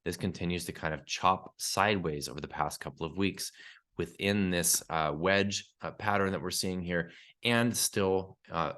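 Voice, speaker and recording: A man hosting a solo market update podcast, talking through prices and charts in a steady analytical way with some fillers, his voice congested from a cold. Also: sits low. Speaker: podcast host and newsletter publisher.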